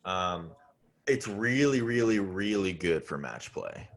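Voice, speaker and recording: A man's voice: low-pitched, animated, slow pace. A man co-hosting a podcast in casual, slangy, teasing banter. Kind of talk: casual chat.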